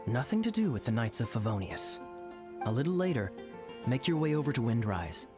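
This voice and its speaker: A man voicing a suave, sly young knight in a video game, smooth and wry, with theatrically polite teasing. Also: mid-range voice, big pitch swings, brisk, lightly acted. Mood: confiding. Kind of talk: video game character dialogue. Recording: studio voice over game music.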